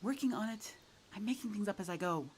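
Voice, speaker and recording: A woman's voice: medium pitch, fast talker, slight background noise, natural voice. A woman playing a hard-bitten, irritable poacher huntress in an audio roleplay, curt, sardonic and threatening. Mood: irritated.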